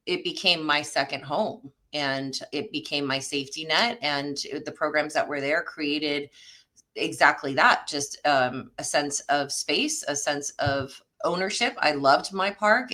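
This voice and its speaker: Interview guest: middle-aged woman, French native, deep for a female voice, bright and punchy, talking conversationally. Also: measured pace. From France. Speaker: interview guest, parks and recreation director.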